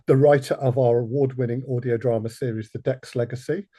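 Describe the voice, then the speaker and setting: A man's voice: mid-range voice, fairly even intonation, a little fast, warm tone, dry recording, clean studio sound. A man hosting a podcast panel interview, introducing and questioning in a self-deprecating manner. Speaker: podcast host.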